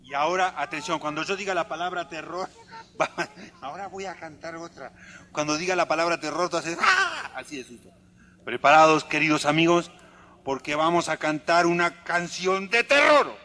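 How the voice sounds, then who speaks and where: A man's voice: on the high side, expressive, normal speed, bright, forceful tone, a little hiss or hum. A man doing interactive musical storytelling for children in a theatrical, coaxing, exclamatory way. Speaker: children's entertainer, singer-storyteller. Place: live outdoor show for children. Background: acoustic guitar.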